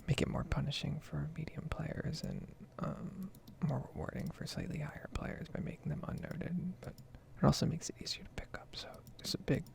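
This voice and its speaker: A woman speaking in a soft, breathy whisper, rambling casually in an ASMR style as she comments on her own gameplay. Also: low-pitched, huge pitch swings, normal speed. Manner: casual, meandering. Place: basement at home.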